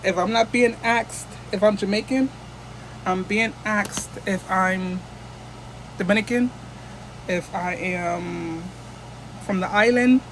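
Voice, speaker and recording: A woman's voice: mid-range voice, slow, long pauses, a little hiss or hum, low-quality recording. A woman from the United States chatting casually into her phone camera as a vlogger, rambling and restarting often.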